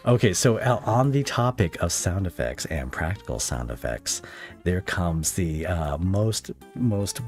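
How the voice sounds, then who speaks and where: Young male podcast host, deep voice, hesitant laid-back delivery over a faint music bed. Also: very animated, measured pace, a little mellow. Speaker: podcast interviewer, ASMR audio creator. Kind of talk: casual interview chat.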